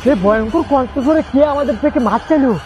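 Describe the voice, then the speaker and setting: A man playing an excitable, eager village fisherman in an amateur horror-comedy skit, exclaiming and repeating himself. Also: very high voice, fast. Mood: puzzled, uneasy. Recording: dull lo-fi sound with loud music.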